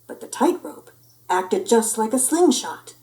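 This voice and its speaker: A woman reading a children's picture book aloud in an expressive, theatrical, playful way. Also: a little high, measured pace. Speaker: storyteller.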